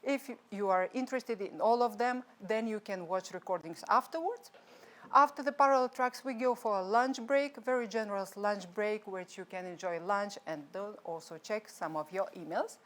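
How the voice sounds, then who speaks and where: A woman's voice: mid-range voice, normal speed. A woman from Lithuania with a Lithuanian accent, a non-native English speaker hosting a live-streamed conference from a studio in a chatty way, with some restarts. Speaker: conference host.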